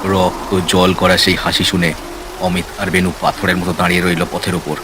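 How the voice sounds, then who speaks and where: A man with a low voice narrates a scripted horror tale dramatically and with suspense. Loud rain and storm noise runs behind his narration. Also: little pitch movement, moderate pace. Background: rain, storm effects.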